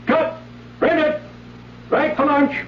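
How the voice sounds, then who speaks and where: An actor playing a brisk film director calling out orders in a 1970s radio drama. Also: male voice, very high-pitched, level tone. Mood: commanding. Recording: distant, echoey, muffled radio broadcast.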